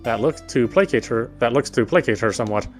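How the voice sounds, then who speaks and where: A man's voice: medium pitch, quick pace. Man reading game narration over music. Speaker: game player reading the text aloud. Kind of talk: scripted narration read aloud in a gameplay video.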